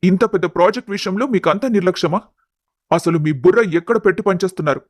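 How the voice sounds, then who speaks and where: Casting: man to play stern young boss in an audio family drama, high-pitched male voice, close dry sound. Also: fast talker, no room sound. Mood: angry, accusing. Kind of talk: dramatised audio story acting.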